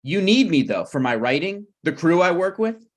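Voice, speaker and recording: A man's voice: sits high, very animated, moderate pace. A man playing a shameless, self-serving friend in a comedy table read, glib and unbothered, with hesitant conversational phrasing. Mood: glibly pleading.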